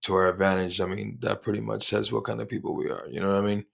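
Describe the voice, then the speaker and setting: A man's voice: lowish voice, level tone, quick pace, vocal fry, fairly bright tone. A man co-hosting a casual podcast, rambling and repeating words, with bits of Spanish mixed in. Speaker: podcast co-host. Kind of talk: casual chat.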